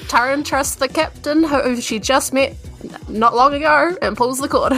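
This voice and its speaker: A woman's voice: high voice, normal speed, pushed and bright. A woman player chatting casually during a tabletop role-playing game. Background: faint music.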